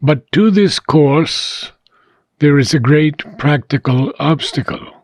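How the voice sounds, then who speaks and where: Slow, measured man reading philosophy aloud. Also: a little high, no echo. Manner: deliberate, measured phrasing with frequent pauses. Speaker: audiobook reader. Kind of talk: scripted reading of a philosophical book.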